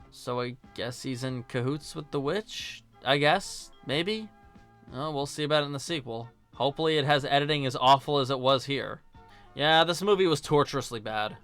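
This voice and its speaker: A man's voice: medium pitch, fairly bright tone, clear, resonant tone. A man narrating a casual, opinionated film review as host, blunt and sarcastic, swearing now and then. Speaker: host, video essayist. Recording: music behind the voice. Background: music.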